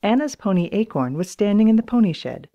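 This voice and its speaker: A woman narrating a children's storybook audiobook in an expressive, acted-out reading style. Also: medium pitch, fairly quick, dull audio. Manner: expressive, acts out dialogue in raised voices.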